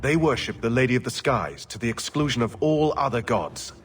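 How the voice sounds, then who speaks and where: Fantasy game scholar: weary, earnest, courteous, bright-edged voice with lively pitch swings. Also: male voice, brisk, far from the mic, soft background music. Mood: weary, resigned. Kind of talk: scripted fantasy game dialogue.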